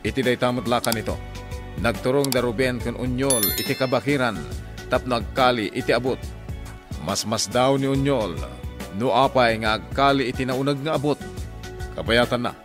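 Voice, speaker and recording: A man narrates a radio drama in a middle-pitched voice. His delivery is scripted and dramatic, with faint music behind him. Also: normal speed, pauses often.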